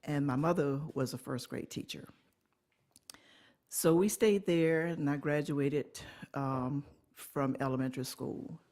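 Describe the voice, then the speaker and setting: A woman, telling her life story in an oral history interview, unhurried and pausing often to correct herself. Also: low voice, a little mellow. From Alabama, USA. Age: 80s. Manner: unhurried recollection with pauses and self-corrections.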